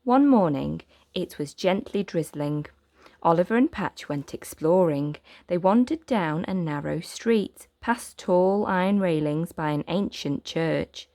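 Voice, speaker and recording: A woman reading a children's story aloud as narrator, with gentle, expressive, deliberate phrasing. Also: fairly low pitch, no echo.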